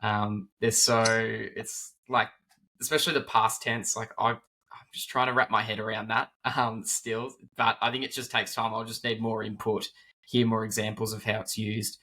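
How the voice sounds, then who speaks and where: A man hosting a casual conversation, relaxed and full of hedging words. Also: fairly low pitch, moderate pace. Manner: relaxed, sprinkled with hedges like "sort of". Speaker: podcast host.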